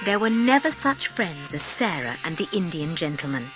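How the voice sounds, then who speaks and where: A woman's voice: on the low side, big pitch swings, moderate pace, rough recording. A woman narrating a children's audiobook as dramatic, scripted storytelling. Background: music.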